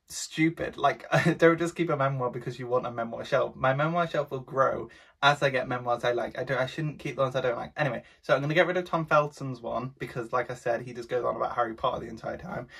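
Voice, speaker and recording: A young man chatting casually to camera, rambling with exaggerated rants while his voice is going hoarse. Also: mid-range voice, a bit bright. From Britain. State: losing his voice. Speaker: book vlogger.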